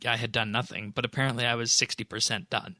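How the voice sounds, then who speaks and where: A man's voice: medium pitch, flat delivery, fast, sharp tone, dry recording. A man hosting a podcast, casually going through game patch notes in an explanatory, conversational way. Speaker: podcast host.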